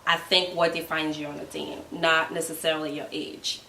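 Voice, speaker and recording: A woman's voice: low-pitched, little pitch movement, normal speed, gravelly, sharp tone, slight background noise. A woman in her twenties with a Ghanaian accent, a guest giving earnest advice in a casual conversation. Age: 20s. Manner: earnest, advising. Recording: roomy, mic at a distance.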